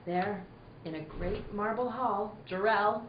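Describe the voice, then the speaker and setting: A woman's voice: mid-range voice, slow, a little hiss or hum, natural voice. Woman as radio serial narrator, echoing room. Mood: solemn, dramatic. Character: earnest narrator of a 1940s superhero radio serial. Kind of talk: scripted dramatic narration. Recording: roomy, slightly muffled. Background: music.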